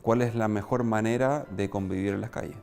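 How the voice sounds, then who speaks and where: Young male Chilean guest, very low relaxed voice, hesitant off-the-cuff delivery. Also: gravelly, faint music behind. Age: young adult. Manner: halting, searching for words, repeats himself. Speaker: interview guest, athlete. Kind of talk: spontaneous opinion.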